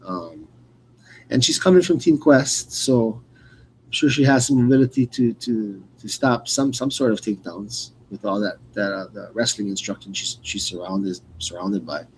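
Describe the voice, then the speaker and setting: Halting man commentating casually, noisy background. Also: fairly low pitch, darkish tone. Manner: rambling, halting, repeats words mid-sentence. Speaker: radio play-by-play host. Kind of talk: casual, unscripted fight commentary.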